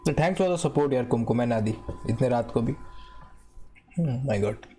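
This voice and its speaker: Young male game streamer, Indian accent, very fast slangy banter, average pitch, faint background noise. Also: mellow. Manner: slangy, grumbling, joking. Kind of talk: casual live chat while gaming.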